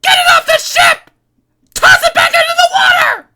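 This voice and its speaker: A woman's voice: normal speed, bright, forceful tone. A woman voicing a frantic young woman with claws who shrieks warnings. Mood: screaming. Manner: shrill, screaming warnings.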